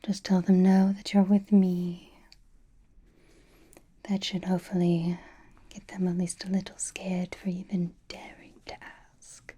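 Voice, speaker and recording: Woman acting as aristocratic female vampire, whispered ASMR roleplay, slow, breathy, refined, teasing, possessive. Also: on the low side, completely flat delivery. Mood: teasing, doting. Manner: unhurried, softly attentive, teasing, refined. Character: centuries-old aristocratic lady vampire, doting and possessive.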